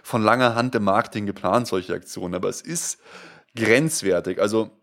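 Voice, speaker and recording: A young man from Munich with only a faint Bavarian accent, chatting eagerly as a podcast co-host. His voice sits on the lower side, and he restarts his sentences often, stacking up fillers as he gets carried away. His warm, lively chat keeps tumbling forward. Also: moderate pace. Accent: light Bavarian German. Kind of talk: casual football fan podcast.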